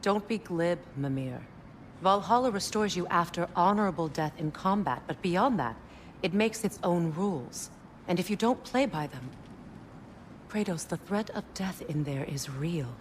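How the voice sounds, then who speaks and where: A woman voicing a stern fantasy guardian, lowish pitch, sober, measured, admonishing, video game drama, faint background noise. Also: animated, close to an everyday voice. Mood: sceptical.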